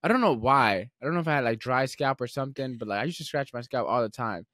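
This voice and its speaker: A man's voice: mid-range voice, fast talker, no echo. Young guy podcast host, rambles with tons of "like" and "um", dry sound. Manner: relaxed, rambling storyteller with many fillers and restarts. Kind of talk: casual podcast conversation.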